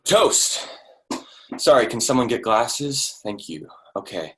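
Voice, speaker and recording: An actor in a staged play reading, playing a blunt but awkwardly tactful investor friend, with a wry, conversational delivery. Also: male voice, medium pitch, lively intonation, takes it slowly, crowd noise. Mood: forced toasting.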